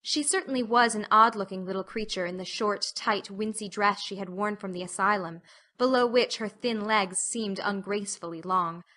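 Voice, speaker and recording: A woman narrating a literary audiobook as an expressive storyteller. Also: mid-range voice, moderate pace.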